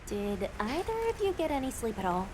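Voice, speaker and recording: A woman's voice: a little high, very animated, brisk, noisy background. A woman playing a composed, courteous young field guide in a video game, speaking politely and instructively. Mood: calm, organizing. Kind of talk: video game cutscene acting.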